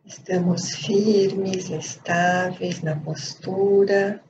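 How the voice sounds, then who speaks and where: A Brazilian woman leading a guided meditation as a teacher, speaking calmly in short phrases with long pauses. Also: lowish voice. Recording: dull lo-fi sound with light background noise.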